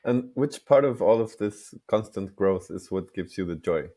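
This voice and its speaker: Host: man, low and mellow, short affirmations, easygoing questions. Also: animated, moderate pace. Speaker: podcast host. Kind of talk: casual interview.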